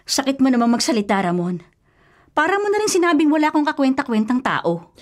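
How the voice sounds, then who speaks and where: An actress playing a stubborn, superstitious elder sister, insistent and fretful, in a radio drama. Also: female voice, sits high, big pitch swings, brisk. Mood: pleading, defensive. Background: faint music.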